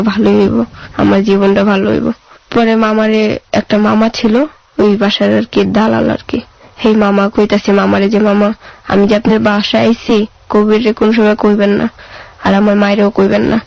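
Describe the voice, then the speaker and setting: A woman with a colloquial Bangladeshi accent recounts personal experience in casual, plain speech, quoting conversations as she goes. Her voice stays quite monotone and has a marked creak. The recording sounds muffled and low in fidelity. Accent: Bangladeshi colloquial Bengali. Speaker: interview guest telling her life story.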